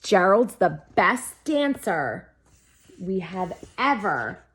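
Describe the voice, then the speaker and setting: Storybook jungle beasts, one female reader: brash, excitable, exclamatory, very animated delivery. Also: mid-range voice, slow pace, slightly rough, exaggerated voice. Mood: amazed, excited.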